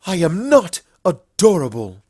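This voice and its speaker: A man's voice: high-pitched, wildly swooping pitch, measured pace, a little mellow, dry recording, studio quality, voice pushed up, exaggerated voice. A man voicing a proud, easily flustered younger pony princess in a story reading, speaking in a formal, indignant, questioning way. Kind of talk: fan fiction story reading.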